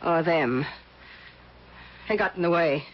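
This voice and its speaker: An actress playing an ailing, overweight, wealthy middle-aged recluse in a radio drama, speaking in a halting, imperious, fussy way. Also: female voice, sits low. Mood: aloof. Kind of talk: old-time radio drama acting. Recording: muffled old radio broadcast recording.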